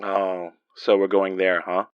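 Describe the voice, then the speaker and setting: An actor playing a guarded, joking young man with a soft heart in an audio drama romance, teasing and hesitant. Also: sits low, monotone, measured pace, clean tone, no room sound, dull audio. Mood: guarded, tender. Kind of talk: naturalistic audio drama acting.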